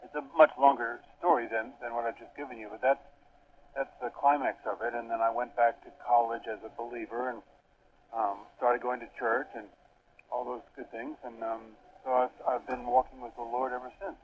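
A man's voice: mid-range voice, flat delivery, a little fast. An older man who grew up in Europe, a seminary professor, answering interview questions thoughtfully with many hesitations. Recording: tinny phone line with faint hiss.

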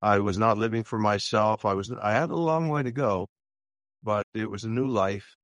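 A man's voice: on the low side, dry recording. Older pastor guest telling his story; sincere, hesitant delivery, very dry mic. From Louisiana, US. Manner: earnest, halting, many fillers and restarts. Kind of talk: personal testimony in a radio interview.

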